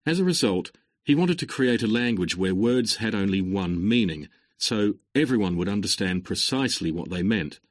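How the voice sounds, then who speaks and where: Man, lecturer, lowish husky voice, steady scripted lecture delivery, dry studio sound. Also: normal speed, no room sound. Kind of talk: scripted exam-recording lecture.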